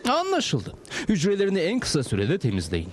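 A man's voice: high-pitched, huge pitch swings, fairly quick, music in the background. A man voicing a pompous, officious prison warden in a cartoon dub. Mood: resigned, formal. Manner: officious, theatrical swoops. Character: pompous middle-aged male prison warden. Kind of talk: Turkish-dubbed cartoon acting.

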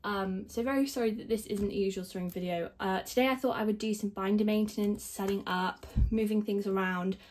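Young woman with a croaky voice, chatty and rambly, talking through her binder setup, kinda self-deprecating. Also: medium pitch, steady tone, a little fast, hardly any pauses, a little mellow. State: croaky voice after concert screaming. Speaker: k-pop collector vlogger. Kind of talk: casual rambling narration over binder organizing. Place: indoors at a table, filming the binder from above.

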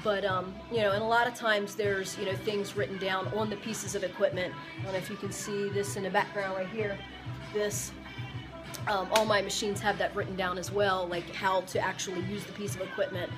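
A woman's voice: medium pitch, flat delivery, fairly quick, music in the background. A woman in her forties who owns a gym, giving casual fitness advice to the camera in a rambling way, full of fillers and restarts, recorded indoors in her gym. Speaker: gym owner and fitness coach. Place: indoor gym among weight machines. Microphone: camera or phone at close range.

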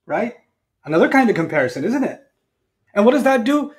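This is a man around his forties with a notably high voice. He preaches like someone chatting across a table, animated and colloquial. He keeps tossing out rhetorical questions and slipping into acted-out asides. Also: a little fast. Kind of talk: conversational sermon with acted-out asides. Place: indoors at a lectern. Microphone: lapel mic.

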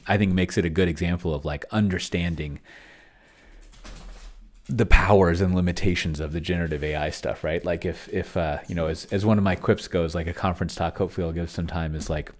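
A middle-aged man giving casual livestream commentary, rambling and thinking aloud as he types. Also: low voice. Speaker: host of a solo tabletop game video.

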